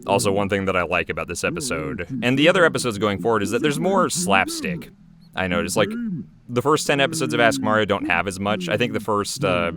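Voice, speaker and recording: A man giving rambling, easygoing commentary on his own animated episode, speaking casually as its creator. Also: fairly low pitch.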